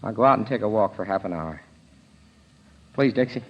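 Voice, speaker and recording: A husky, fairly low American man's voice on a dull old radio recording, as an ex-convict on the run. He is a desperate but protective figure from a crime drama. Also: expressive, a little fast. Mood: subdued, protective. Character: hunted, desperate male ex-convict, protective of his pal.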